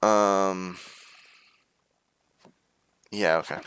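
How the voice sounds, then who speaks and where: A man's voice: sits low, fairly even intonation, extremely slow, a bit bright, clear, resonant tone, dry recording. A man streaming a game, reading its text aloud in many voices and chatting playfully about his choices. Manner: reads game characters' lines in varied voices, playful and chatty.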